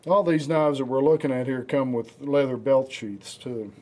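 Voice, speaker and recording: A man with a hoarse, raspy voice from the flu, hosting a casual knife show with rambling talk and many fillers. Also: medium pitch, moderate pace, darkish tone. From United States. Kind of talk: casual product demonstration.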